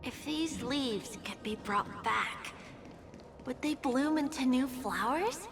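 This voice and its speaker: A wistful little girl in a video game. Her voice is extremely high and innocent, and she speaks slowly and dreamily. Music plays clearly behind her. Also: animated, heavily acted. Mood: wondering.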